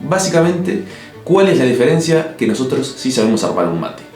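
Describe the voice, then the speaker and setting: Un hombre de Uruguay con acento del Río de la Plata, que narra un ensayo en video con opiniones firmes y toques de humor seco. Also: male voice, a little high, big pitch swings, brisk. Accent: Río de la Plata Spanish. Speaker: video essay host. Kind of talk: scripted narration. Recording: echoey room with soft music.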